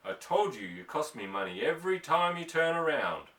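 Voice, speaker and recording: A man voicing a stern, short-tempered rancher father in an audiobook, curt, sarcastic and gruff. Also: on the high side, big pitch swings, normal speed, a bit bright, pitched above the performer's own voice. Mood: angry, barking. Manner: barking, withering, curt. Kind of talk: audiobook character voice. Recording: echoey room, distant microphone.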